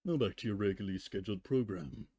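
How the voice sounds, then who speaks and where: A man's voice: fairly low pitch, quick pace, warm tone, husky, no room sound. A man reading fan fiction on a livestream, moving between storybook narration and profane, joking casual asides.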